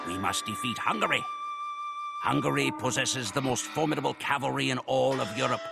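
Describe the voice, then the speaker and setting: A man narrating a war campaign in solemn, measured scripted narration for game cutscenes. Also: lowish voice, creaky. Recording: game cutscene audio with loud music.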